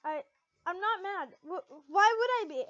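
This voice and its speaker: A woman's voice: extremely high pitch, expressive, a little slow, a bit bright, no echo, muffled recording, soft background music, pitched above the performer's own voice, exaggerated voice. A woman voicing an emotional, hot-headed teenage schoolgirl who stammers and exclaims, in an amateur story reading. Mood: flustered.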